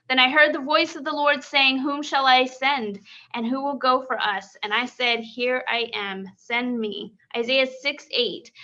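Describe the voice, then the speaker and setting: A woman's voice: high voice, bright, forceful tone. A woman hosting a live-streamed interview, upbeat and full of "um"s. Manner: upbeat, filler-heavy. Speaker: host. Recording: lo-fi stream audio.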